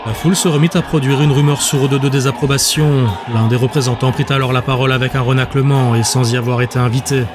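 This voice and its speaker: A man's voice: medium pitch, steady tone, fast talker, hardly any pauses, a crowd in the background. A man reading scripted fiction as an audiobook narrator. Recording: music bed behind the voice.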